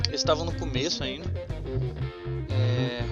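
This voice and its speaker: A Brazilian man casually reminiscing in a hesitant way, pausing and repeating words, on a dull recording. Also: mid-range voice. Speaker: podcaster. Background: plucked guitar music.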